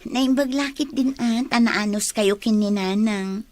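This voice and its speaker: A woman's voice: a little high, measured pace, no echo, lightly acted. A woman playing a modest, grateful young daughter and college student in an Ilocano radio drama. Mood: earnest, modest.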